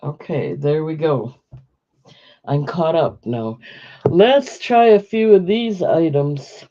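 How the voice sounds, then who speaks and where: A hoarse woman running a live-stream auction, chatty and unhurried, pausing to cough. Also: sits low, lively intonation, mellow. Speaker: online auctioneer. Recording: dull lo-fi live-stream audio.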